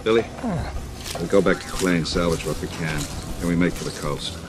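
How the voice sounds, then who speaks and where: An actor playing a stern, weary middle-aged scientist in a game adventure, speaking bluntly and with authority. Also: male voice, sits low, fairly even intonation, brisk, natural voice. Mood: cold, decisive. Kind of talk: dramatic dialogue in a game cutscene.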